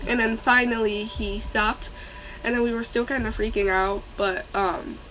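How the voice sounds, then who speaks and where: Young woman, stuffy nose, excitable storyteller full of fillers, faint room hiss behind. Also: on the high side, moderate pace, rough recording. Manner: rambling, filler-heavy, excitable. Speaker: vlogger. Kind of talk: casual storytime.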